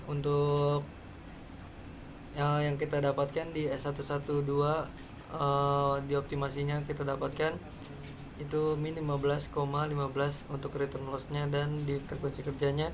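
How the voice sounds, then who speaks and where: Seorang pria muda berlogat Indonesia memperagakan perangkat lunak langkah demi langkah sebagai mahasiswa presenter, dengan bicara ragu-ragu. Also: male voice, a little high. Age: young adult. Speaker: student presenter. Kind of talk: step-by-step software demonstration. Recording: clearly noisy background.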